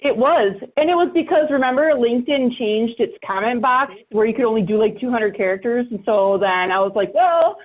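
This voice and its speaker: A woman's voice: fairly high pitch, lively intonation, a little fast, few pauses. A woman talking casually and emphatically as a podcast guest, in colloquial conversational style. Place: home workspace studio with sound panels. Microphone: microphone with preamp, over online call. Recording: thin phone-like online call audio.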